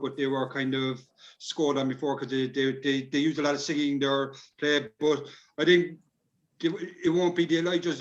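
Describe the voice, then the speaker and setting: An Irish man talking informally, rambling and tentative as he works through an answer. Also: on the high side. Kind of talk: informal discussion. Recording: dull, lo-fi sound.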